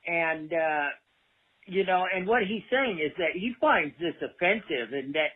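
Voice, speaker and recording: A man leaving an earnest, rambling political voicemail in a halting, repetitive way. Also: on the high side, lively intonation, breathy edge. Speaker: voicemail caller. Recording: tinny phone voicemail.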